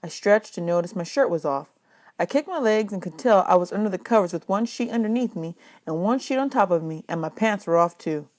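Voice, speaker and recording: Woman teacher doing an expressive audiobook read aloud, medium pitched voice. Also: fairly quick. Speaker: teacher reading a novel aloud.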